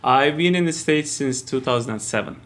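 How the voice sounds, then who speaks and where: A man in his thirties from Turkey with a Turkish accent, a podcast guest talking carefully and hesitantly, with frequent pauses and fillers. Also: medium pitch, expressive, fairly bright tone. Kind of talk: casual interview talk. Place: basement room.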